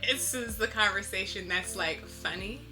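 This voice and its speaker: Woman artist in a laid back interview, bright voice, says like a lot, music playing behind. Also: mid-range voice, normal speed. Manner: informal, reflective, frequent "like". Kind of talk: casual interview chat. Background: instrumental lo-fi music.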